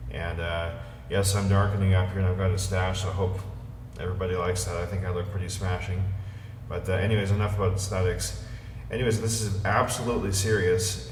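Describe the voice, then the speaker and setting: A man's voice: deep voice, darkish tone. A middle-aged man talking to viewers in a hesitant, earnest, rambling monologue. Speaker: amateur video blogger. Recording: echoey, distant amateur recording with noise.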